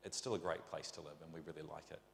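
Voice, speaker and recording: A man's voice: very deep voice, fairly even intonation, racing, gravelly, reverberant, in a large hall, a little hiss or hum. A middle-aged man with a New Zealand accent preaching a conversational, rambling sermon full of rhetorical questions. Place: church.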